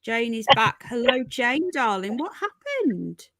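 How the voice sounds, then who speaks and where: A woman's voice: a little high, big pitch swings, slow, fairly bright tone, no echo, studio quality. A woman hosting a casual live news stream from home, chatting to viewers, repeating herself and calling them folks and darling. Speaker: livestream host.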